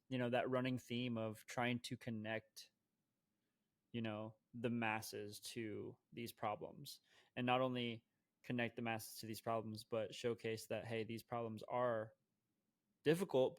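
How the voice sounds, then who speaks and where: A male podcast co-host who talks in a meandering, halting way, circling back on his words. His voice stays flat and even in pitch. The sound is close and dry, with no room around it. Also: dry recording. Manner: rambling, halting with many restarts. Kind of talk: casual podcast interview.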